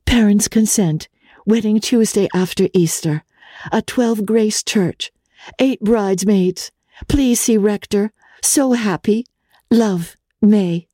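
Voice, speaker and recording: A woman voicing a happy young fiancée's telegrams in a storybook reading, in clipped, measured phrases. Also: mid-range voice, warm tone, no room sound, clean studio sound, voice pushed up. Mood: happy, brisk.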